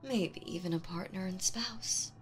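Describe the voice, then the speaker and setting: A woman voicing a seductive, domineering demon queen in a dramatic audio roleplay, speaking theatrically and deliberately with teasing menace. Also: medium pitch, faint music behind, close to an everyday voice. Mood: domineering, menacing.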